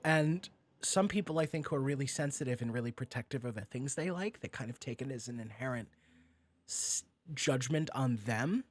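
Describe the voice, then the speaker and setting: A man hosting a casual podcast, talking in an animated, rambling way and swearing freely. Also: mid-range voice, moderate pace. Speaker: podcast host.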